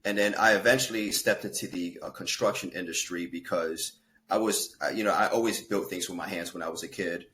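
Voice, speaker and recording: Podcast guest: very low male voice, earnest storyteller, faint background hum. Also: steady tone, fairly quick, fairly bright tone. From Peru. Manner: earnest storytelling with fillers and self-corrections. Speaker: guest, fitness and life coach. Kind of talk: casual podcast interview.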